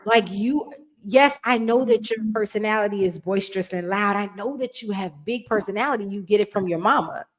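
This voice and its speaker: A woman podcast co-host speaking in lively, emphatic casual conversation. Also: mid-range voice, fairly quick. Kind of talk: casual chat.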